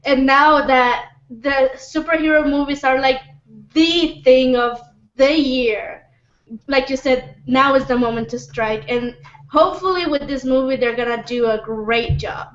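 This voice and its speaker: A woman's voice: high-pitched, a little slow. A woman talking as a panelist in a casual discussion, hesitant and often repeating her words. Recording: roomy, dull lo-fi podcast audio.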